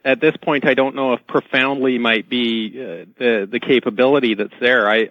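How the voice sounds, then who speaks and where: A man with a medium-pitched voice speaks as a radio interview guest. He explains technical points in an informal, halting way and repeats words. The recording is dull and lo-fi, very dry with no room sound. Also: no echo. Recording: dull band-limited radio call audio.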